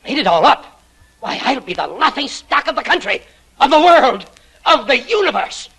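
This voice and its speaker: Outraged publisher boss, old radio comedy: high, sharp, pompous bluster. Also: male voice, very animated, normal speed, slightly rough, exaggerated voice. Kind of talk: 1940s radio comedy acting. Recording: vintage 1940s radio broadcast.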